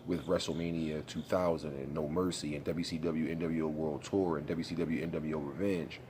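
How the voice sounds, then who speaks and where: A man's voice: a bass voice, fairly even intonation, normal speed, noisy background. A young man talking casually about a video game in a laid-back, rambling, slangy way, congested and sniffling. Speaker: gaming YouTuber. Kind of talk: casual vlog commentary.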